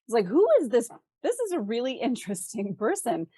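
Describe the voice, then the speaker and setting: A woman's voice: a little high, big pitch swings, brisk, mellow, husky, no echo, clean studio sound. A talkative middle-aged woman chatting casually and expressively about her own work as a fantasy author being interviewed.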